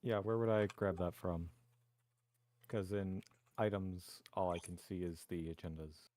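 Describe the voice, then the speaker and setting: Deep-voiced man at a gaming table. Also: fairly even intonation, measured pace, darkish tone, a little hiss or hum. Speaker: tabletop game player. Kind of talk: casual in-game table chat.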